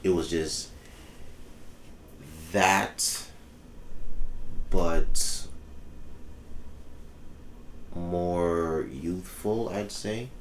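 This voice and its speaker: Dude talking music, super deep and laid back, lots of ums, kinda roomy echo. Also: male voice, steady tone, very slow, long pauses. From The Bahamas. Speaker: musician guest. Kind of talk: casual interview chat. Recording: echoey room with faint background noise.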